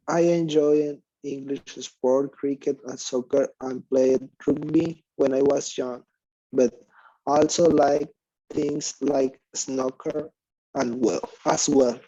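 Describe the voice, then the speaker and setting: Mellow, slow male student reading haltingly. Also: mid-range voice, no echo. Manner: halting, repeats words. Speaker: English student. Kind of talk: reading aloud in class. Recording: dull, dry online-call audio.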